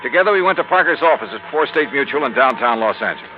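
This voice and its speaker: An American man playing a brisk, inquisitive insurance investigator who also narrates, in 1950s radio drama style. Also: mid-range voice, animated, music in the background, close to an everyday voice. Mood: narrating. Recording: muffled, noisy old radio recording.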